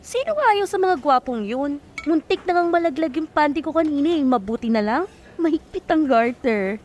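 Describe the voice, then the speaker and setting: Chatty young female kitchen co-worker, high voice, bossy, radio drama, noisy ambience. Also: expressive, moderate pace, highs cut off. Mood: giddy, gossipy. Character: bossy, gossipy young woman co-worker, giddy over rich men. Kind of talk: radio serial drama acting. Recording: clear background noise and ambience.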